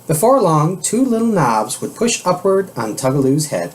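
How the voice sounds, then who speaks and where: A man reading a children's storybook aloud in a measured, expressive manner. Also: a little high, low-quality recording. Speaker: storybook reader.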